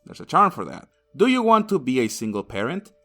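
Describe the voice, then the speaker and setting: A man's voice: medium pitch, sing-song, fairly quick, sharp tone. A man narrating a scripted comedic video game review in a crude, sarcastic, sweary manner.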